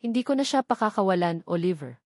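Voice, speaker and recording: A man's voice: high-pitched, expressive, quick pace, no echo, studio quality. Romantic audio drama husband, played by a female reader: earnest, tender, full of feeling, devoted.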